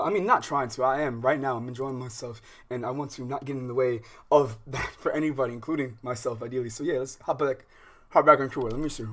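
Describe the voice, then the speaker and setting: Male livestream host, medium pitch, slangy, off-the-cuff and rambling chat.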